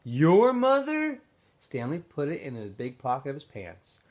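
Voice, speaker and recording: Male story reader, classroom read-aloud feel, animated and emphatic delivery. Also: medium pitch, moderate pace, slightly rough. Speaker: teacher reading aloud to students.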